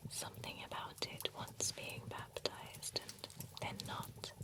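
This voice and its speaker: Woman whispering as a polite vampire spa attendant. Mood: soft, courteous. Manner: gently chatty, courteous, slow and soft. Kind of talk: ASMR roleplay.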